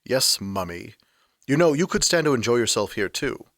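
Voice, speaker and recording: A man plays an ancient supernatural rogue in an audio drama, recorded close and dry. The mid-pitched voice swings widely, sardonic and flirtatious, quick to take theatrical offence and fond of bloodthirsty threats. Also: very animated, a bit bright, no room sound. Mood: smug, flirtatious. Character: snarky, womanizing, bloodthirsty centuries-old male supernatural being.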